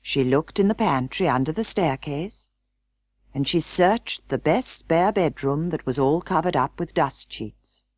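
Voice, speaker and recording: A woman narrates a children's story from a script. She speaks slowly and deliberately, with pitch that moves widely across each sentence. The sound is close and very dry. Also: lively intonation, a little mellow, no room sound. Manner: measured storytelling. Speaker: audiobook narrator.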